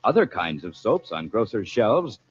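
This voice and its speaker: Animated vintage male radio announcer. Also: on the low side, normal speed, slightly rough, soft background music. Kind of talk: 1940s radio sponsor announcing. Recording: dull vintage radio broadcast recording.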